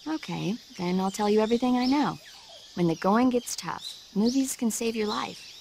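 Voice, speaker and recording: An actress playing a friendly, earnest radio support operator who chats enthusiastically in a video game. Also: female voice, medium pitch, animated, moderate pace, vocal fry, darkish tone, a little hiss or hum. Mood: friendly, enthusiastic. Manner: chatty, earnest.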